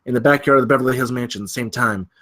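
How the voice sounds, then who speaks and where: Bright, forceful male screenplay narrator. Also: medium pitch, fast. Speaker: stage-direction narrator. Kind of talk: scripted narration at a film table read.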